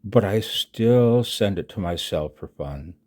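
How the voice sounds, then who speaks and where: A man in his sixties giving a personal voice-over announcement, halting and reflective, with a husky low voice. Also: expressive, a little mellow. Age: 60s. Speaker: amateur radio hobbyist and video narrator. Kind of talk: personal voice-over announcement, partly read from own text.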